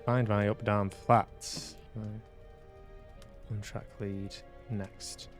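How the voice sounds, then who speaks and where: A man's voice: on the low side, flat delivery, drawn-out words, music in the background. A man hosting a live game stream, reading on-screen text aloud with casual asides. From England. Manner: casual asides between read-out passages. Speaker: game streamer and podcast host.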